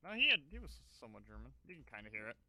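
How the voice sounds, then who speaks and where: A man's voice: mid-range voice, big pitch swings, very fast, pushed and bright. A man co-hosting a podcast, casually picking apart a film in a blunt, mocking way. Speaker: podcast co-host. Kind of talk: casual film review chat.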